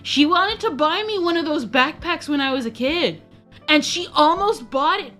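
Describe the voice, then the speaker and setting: A woman's voice: high-pitched, a bit bright. A young woman streamer chatting casually, rambling, self-interrupting and often giggling. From United States. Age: young adult.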